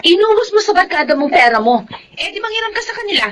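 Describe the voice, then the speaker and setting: High, emotional Filipina mother. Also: sing-song, fast talker, a bit bright, slight background noise, full character voice. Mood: firm. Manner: pleading yet firm, emotional. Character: long-suffering, devout, fiercely protective mother and wife. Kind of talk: Filipino radio drama acting.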